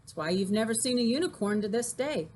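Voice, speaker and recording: A woman teacher reading aloud expressively and explaining things simply for children, with an animated, folksy delivery. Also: fairly high pitch, fairly quick, a little hiss or hum. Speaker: teacher reading poems to grade-school children.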